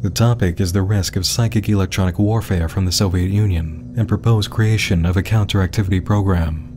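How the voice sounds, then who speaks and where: A man giving scripted narration in a measured, dramatic storytelling manner. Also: sits low, steady tone, music in the background. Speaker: narrator and host of a web series explainer.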